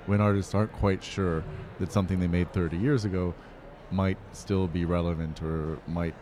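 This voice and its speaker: A man from Pennsylvania, a curator, answering interview questions in a measured, earnest way. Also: sits low, steady tone, background noise. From Pennsylvania, USA.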